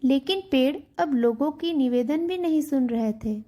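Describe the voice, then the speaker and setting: High-pitched woman narrating a children's story. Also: normal speed, mellow.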